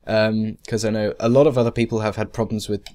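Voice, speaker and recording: A man's voice: lowish voice, little pitch movement, quick pace, dark tone. Rambling young-sounding male tutorial presenter. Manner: rambling, hesitant, self-deprecating. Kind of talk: casual software tutorial narration. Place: indoors, screen-recording voice-over.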